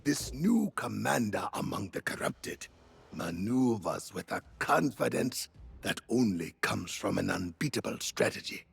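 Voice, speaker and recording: Husky, animated fantasy war strategist. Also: male voice, on the low side, exaggerated voice. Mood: grave, resolute. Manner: formal, deliberate military phrasing. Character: earnest male military strategist in fantasy war. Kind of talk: scripted video game dialogue.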